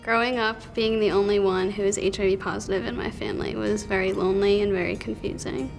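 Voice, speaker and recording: A woman's voice: medium pitch, flat delivery, fast talker, vocal fry. A woman in her twenties from Pennsylvania telling her personal story in a reflective, open way. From Pennsylvania, USA. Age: 20s. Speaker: interviewee. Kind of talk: personal testimony. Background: music.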